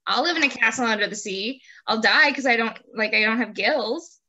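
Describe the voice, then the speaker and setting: A woman's voice: on the high side, brisk, pushed and bright, slight background noise. A woman hosting a casual chat, speaking in a playful, joking way peppered with "like". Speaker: host, interviewer. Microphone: video call.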